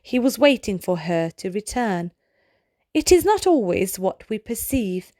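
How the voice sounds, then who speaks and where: A woman reading a children's storybook aloud in a measured way, pausing phrase by phrase. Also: mid-range voice, big pitch swings, darkish tone, no echo, clean studio sound. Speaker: read-aloud narrator of a children's book. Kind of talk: slow read-aloud of short storybook pieces.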